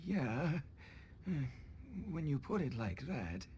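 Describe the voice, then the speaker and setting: A man's voice: fairly high pitch, big pitch swings, very slow, darkish tone, slight background noise, heavily acted. A man playing a grudging older villager in a video game, hesitant and given to sighing. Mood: reluctant, conceding.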